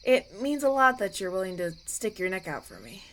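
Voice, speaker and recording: A woman voicing a kind, grateful young prince in a roleplay audio, speaking in a gentle, hesitant, conversational way. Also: medium pitch, very animated, brisk, slight background noise, natural voice. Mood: touched, shy.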